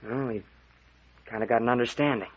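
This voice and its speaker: A husky young man under accusation played by an actor, mid-pitched and rattled. He protests defensively and hesitates in vintage radio drama style, on a muffled recording. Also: fairly quick, lightly acted. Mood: hesitant. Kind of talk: 1940s radio mystery acting.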